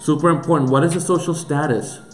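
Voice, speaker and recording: A man's voice: fairly high pitch, steady tone, quick pace. A man with a Puerto Rican accent, a pastor giving an earnest teaching talk that runs through a series of questions. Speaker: pastor and church planter. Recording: echoey room with faint music.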